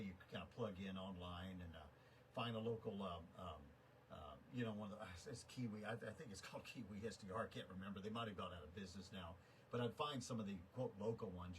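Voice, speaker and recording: A man chatting casually over amateur radio in a folksy, rambling way. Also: lowish voice. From United States, Texas. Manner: folksy, rambling, chuckling asides. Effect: radio transmission. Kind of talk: casual rag-chew radio chat. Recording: noisy shortwave amateur radio signal.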